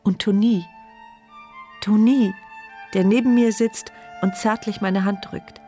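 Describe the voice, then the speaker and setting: Actress as reflective heroine, female, mid pitch, intimate inner narration, tender, resilient, measured, German radio drama. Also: steady tone, mellow, faint music behind, close to an everyday voice. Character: woman crime-victim narrator, reflective, tender, wounded but resilient.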